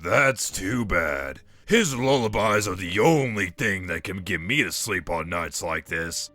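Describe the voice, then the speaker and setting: Casting: man as old walrus convict for a comic dub, low, lively pitch, gruff, slangy, crotchety. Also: unhurried, full character voice. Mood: sardonic.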